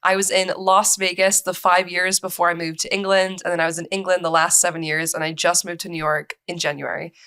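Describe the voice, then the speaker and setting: A woman's voice: fairly low pitch, level tone, brisk, hardly any pauses, fairly bright tone, smooth. A young American woman speaking as a podcast guest, telling her personal story in conversation. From United States, grew up moving between countries. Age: young adult.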